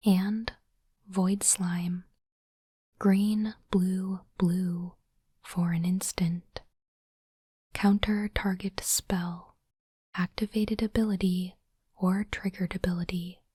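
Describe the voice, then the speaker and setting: A woman doing ASMR in a soft, breathy whisper, speaking in a hushed, deliberate way as she hosts an unboxing. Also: lowish voice, level tone, dry recording, studio quality. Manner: slow, deliberate, hushed delivery. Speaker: host of ASMR card unboxing channel. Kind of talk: ASMR whispering, close and gentle narration.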